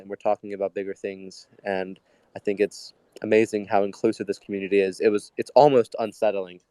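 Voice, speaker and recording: A man's voice: on the low side, warm tone, clean tone, no room sound. A young man speaking as a guest in an informal group chat, earnest and grateful. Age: teens to young adult.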